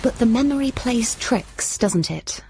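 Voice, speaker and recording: A woman's voice: fairly high pitch, animated, a little slow, dark tone, slight background noise, low-quality recording. A young British woman working in magazines, downhearted and wry. She speaks in a self-deprecating way, as heroine and narrator of a radio drama. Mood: wry, reflective. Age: young adult. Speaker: lead actress and narrator.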